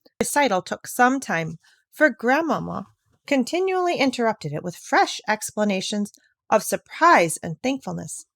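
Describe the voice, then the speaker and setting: A woman's voice: on the high side, very animated, moderate pace, creaky, fairly bright tone, no echo. Woman reading a storybook, dry sound. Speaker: narrator, reader. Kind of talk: scripted storybook read-aloud.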